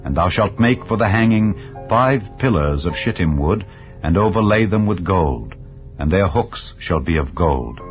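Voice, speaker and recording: Male scripture narrator, low pitch, solemn formal delivery, measured phrasing, slightly muffled sound. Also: expressive. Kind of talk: solemn dramatized Bible reading. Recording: slightly dull audio with background music. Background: soft background music.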